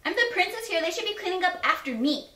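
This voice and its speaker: A woman's voice: very high-pitched, lively intonation, fast, sharp tone. A woman in a student comedy playing indignant, demanding characters. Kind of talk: comic acting. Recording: roomy.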